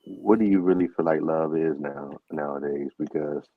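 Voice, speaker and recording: A man's voice: a bass voice, moderate pace, slightly rough, no echo, narrow, tinny audio. A man hosting a podcast, chatting casually and putting questions and opinions to his guest. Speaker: podcast host. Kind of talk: casual chat.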